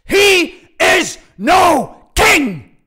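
A man's voice: medium pitch, unhurried, a little mellow, no echo, studio quality, close to an everyday voice. A man voicing a defiant, fiercely protective noble father with an emphatic, impassioned delivery in an audiobook. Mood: thunderous rage. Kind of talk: audiobook character acting.

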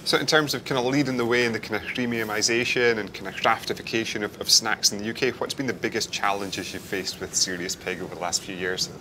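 A man's voice: lowish voice, steady tone, fast, few pauses, bright, forceful tone. A man in his thirties asking questions as an interviewer in a casual conversation. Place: indoors in a bar. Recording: clear background ambience noise.

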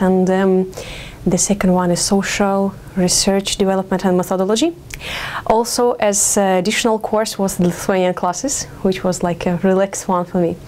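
A woman's voice: medium pitch, darkish tone, a little hiss or hum. Ukrainian-accented young woman, thoughtful interview answers. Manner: thoughtful, many fillers and pauses. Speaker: doctoral exchange student. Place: studio with campus backdrop.

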